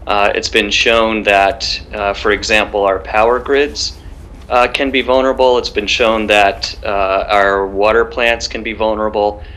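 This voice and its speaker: A man's voice: on the low side, flat delivery, unhurried, fairly bright tone. A man in his forties with an American accent, explaining things as an expert guest on a video call. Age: 40s. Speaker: law professor, remote guest. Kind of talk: explanatory interview answer. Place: home study with bookshelves.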